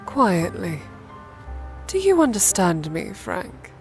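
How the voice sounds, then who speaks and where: An actress playing a commanding, coolly threatening woman in a cinematic audio drama, measured, deliberate and authoritative. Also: mid-range voice, sing-song, vocal fry, darkish tone, faint music behind, heavily acted. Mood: cold menace.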